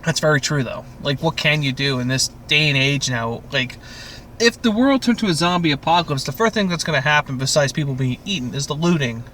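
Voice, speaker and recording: A man hosting a casual podcast chat about a TV show, with crude, profane, animated joking. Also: on the high side, fairly quick, a little hiss or hum. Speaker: podcast host.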